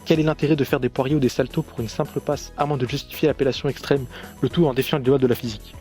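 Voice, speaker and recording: A man's voice: medium pitch, fairly even intonation, fast talker, mellow, soft background music. A man reading scripted commentary for a video review in a sarcastic, critical manner.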